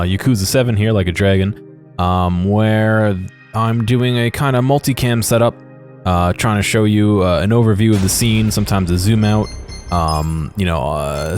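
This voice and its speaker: A low-voiced man talks through game footage as a video creator. His delivery is casual and unscripted, halting and hesitant as he feels for his words. Faint music plays behind him. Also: a little mellow. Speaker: video creator giving commentary. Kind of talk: casual gameplay commentary.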